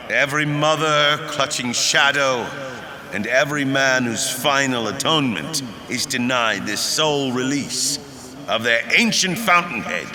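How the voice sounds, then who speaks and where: A man's voice: medium pitch, close to an everyday voice. A man playing a grandiose, solemn leader delivering stately, zealous oratory in a theatrical scripted speech. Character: grandiose male leader rallying a nation with solemn zeal. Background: music.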